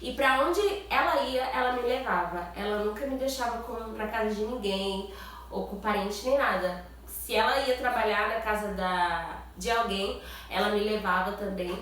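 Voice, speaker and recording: A woman's voice: mid-range voice, normal speed, fairly bright tone. Nasal-sounding woman with a cold, echoey room. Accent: Brazilian Portuguese. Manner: chatty, lots of tag questions. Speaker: vlogger. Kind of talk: casual chat to camera. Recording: very echoey room, far from mic.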